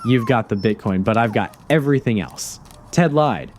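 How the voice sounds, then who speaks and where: A man's voice: mid-range voice, lively intonation, normal speed, a little mellow, lightly acted. An actor playing a smug, ruthless male villain in an audio drama, sneering with mock politeness and wordy taunts. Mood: smug, taunting. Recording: clear background noise behind.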